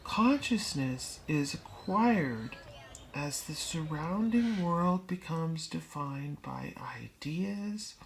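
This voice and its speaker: A woman reading a book aloud as scripted narration, in deliberate, measured phrases with pauses. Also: deep voice, animated, slight background noise, low-quality recording. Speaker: audiobook reader.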